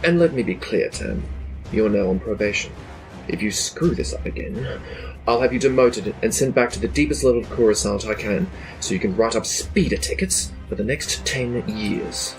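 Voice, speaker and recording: Guy acting as a cold bossy space empire commander, lowish voice, threatening, audio drama w music under it. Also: lively intonation, moderate pace, low-quality recording. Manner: cold, clipped, exasperated authority. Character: stern, threatening male Imperial baron and commander. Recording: music behind the voice.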